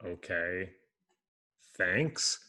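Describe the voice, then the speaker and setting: A man's voice: deep voice, very slow, exaggerated voice. A man reading a sulky teenage boy. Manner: sulky, flat, self-deprecating. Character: glum teenage boy, a discouraged young writer. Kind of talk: screenplay table reading.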